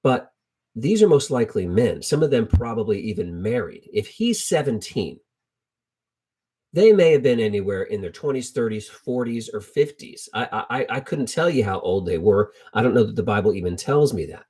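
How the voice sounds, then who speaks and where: A man's voice: lowish voice, big pitch swings, moderate pace, a little mellow, breathy edge. A middle-aged man teaching from the Bible in an informal, storytelling sermon style, conversational and colloquial, repeating phrases for emphasis. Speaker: preacher teaching a Bible lesson.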